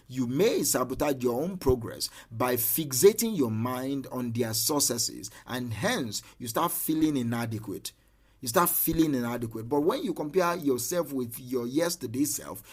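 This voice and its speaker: A man's voice: mid-range voice, darkish tone. A man, a mindset coach giving an earnest motivational talk and repeating key lines for emphasis.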